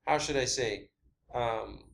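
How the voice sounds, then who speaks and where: A man's voice: medium pitch, slow pace, gravelly. A man giving an informal explanatory monologue, emphatic and conversational, often repeating phrases and checking in with the listener. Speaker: amateur science theorist presenting his own video.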